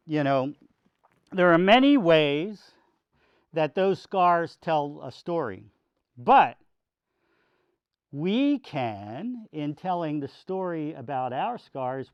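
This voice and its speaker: Male pastor for children's sermon: unhurried, smooth, folksy, simple wording; dry, muffled lo-fi recording. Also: a little high, no room sound. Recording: dull lo-fi sound.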